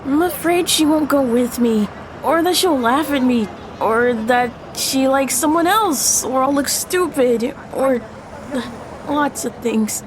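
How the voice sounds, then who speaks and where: A woman's voice: high voice, lively intonation, slow, background noise. A woman playing an anxious middle school boy in a family audio drama, earnest and hesitant. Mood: nervous, worried. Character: anxious middle school boy confiding in his best friend.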